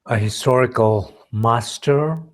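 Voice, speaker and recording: A man leads a guided meditation as a teacher, speaking in measured short phrases with pauses between them. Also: medium pitch. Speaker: meditation teacher leading a retreat.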